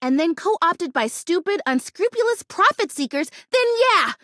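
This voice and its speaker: A woman's voice: extremely high pitch, very animated, unhurried, a bit bright, no echo, clean studio sound, exaggerated voice. A woman voicing an earnest, hopeful plastic plant girl who longs to be real, a video game character with a dramatic, childlike way of talking. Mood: indignant, defensive. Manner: earnest, dramatic, childlike phrasing and mild insults.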